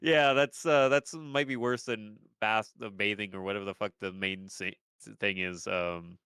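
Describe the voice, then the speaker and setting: A man's voice: on the low side, steady tone, normal speed, bright, forceful tone, clean tone, no echo, clean studio sound. A young man with a stuffed-up nose, chatting on a podcast in a rambling way, with lots of restarts and casual swearing. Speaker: podcast host.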